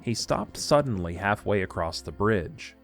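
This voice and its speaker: Guy narrating an audio drama, low voice, soft music underneath. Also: lively intonation, a little slow.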